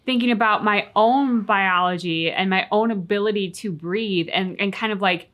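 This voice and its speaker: Female reaction co-host, medium pitch, conversational and reflective, leaning on key words. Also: moderate pace, a bit bright. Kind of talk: casual reaction chat.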